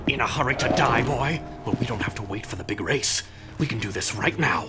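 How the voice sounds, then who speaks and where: A man's voice: mid-range voice, expressive, a little fast, natural voice. Man acting a menacing, taunting racer villain. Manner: taunting, challenging. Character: cocky, menacing male street racer, bitter rival. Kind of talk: video game cutscene acting. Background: music, vehicle.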